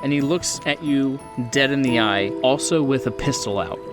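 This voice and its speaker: A man narrates a tabletop horror game as its game master. His voice sits at a middle pitch, with deliberate phrasing, and music plays clearly behind him.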